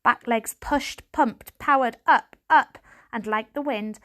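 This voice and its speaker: Higher-pitched woman reading a dramatic story. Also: takes it slowly, fairly bright tone. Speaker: audiobook reader. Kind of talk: dramatic scripted children's story narration.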